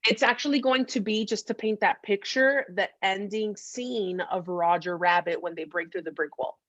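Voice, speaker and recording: A woman's voice: mid-range voice, moderate pace, fairly bright tone, no room sound, dull audio. A woman co-hosting a podcast in casual comedic chat, playful and teasing, repeating phrases for emphasis. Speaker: podcast co-host.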